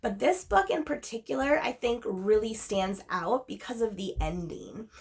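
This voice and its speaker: A woman's voice: fairly high pitch, lively intonation, normal speed, vocal fry, a little mellow, slightly rough. Chatty woman with a slight cold. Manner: chatty and enthusiastic, repeats "really". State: recovering from a cold, a little sniffly. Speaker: book reviewer. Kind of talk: casual chat to camera.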